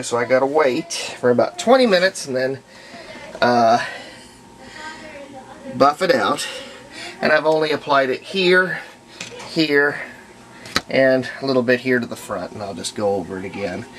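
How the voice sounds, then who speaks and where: A hobbyist restorer giving a casual hands-on workshop demonstration, thinking aloud in a halting way as they work. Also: medium pitch. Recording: noisy room recording with ambient sound.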